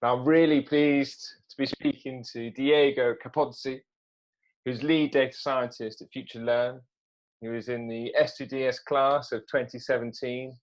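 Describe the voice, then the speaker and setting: A man's voice: medium pitch, highs cut off. Man hosting a conversational interview. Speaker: interview host.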